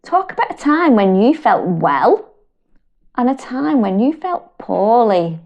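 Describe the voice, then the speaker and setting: A British woman reading a picture book aloud to children in a gentle, expressive way, rising high on her questions. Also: highs cut off. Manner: slow, gentle and expressive, with big pitch lifts on questions. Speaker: storyteller and wellbeing educator.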